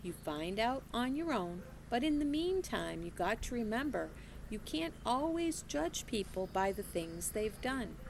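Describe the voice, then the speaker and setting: Gentle elderly storybook woman, slow drawl, lively lilt; woman reader doing her. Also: fairly high pitch, vocal fry, slight background noise, full character voice. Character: old wise southern woman, gentle and patient.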